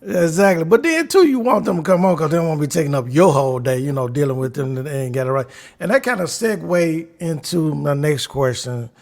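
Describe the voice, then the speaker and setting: A man hosting a podcast interview, easygoing and quick to affirm his guest. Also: a little high, animated, gravelly, a little mellow. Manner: easygoing, with frequent affirmations and laughs. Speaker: podcast host.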